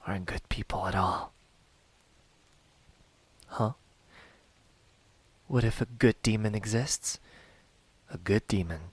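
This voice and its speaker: A smug, menacing demon hunter, voiced by a man in an ASMR roleplay. His voice is low and husky, often sinking toward a whisper. He taunts his prey slowly and deliberately, taking his time over each phrase. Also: dry recording, full character voice. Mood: grave, challenging, then scornful. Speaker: amateur voice actor.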